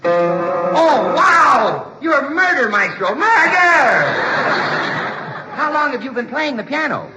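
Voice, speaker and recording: A man with an American accent playing a gushing, eager radio announcer who pitches soap in 1940s comedy, gee-whiz and salesmanlike. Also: big pitch swings, slow pace, pauses often, loud music behind the voice, heavily acted. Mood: gushing, excited. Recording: noisy muffled 1940s radio recording.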